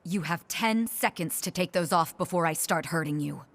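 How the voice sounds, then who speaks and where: An actress playing a strict, reproachful young military woman in an animated series, formal and scolding. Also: on the low side, normal speed. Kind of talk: animated series drama acting. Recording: music behind the voice.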